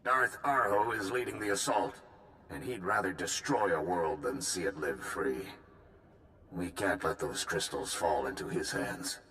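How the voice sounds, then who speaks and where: A man's voice: low voice, a little slow, bright, forceful tone, a little hiss or hum. An actor playing a male supreme commander giving a formal, urgent mission briefing in a video game cutscene. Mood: earnest. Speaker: military commander.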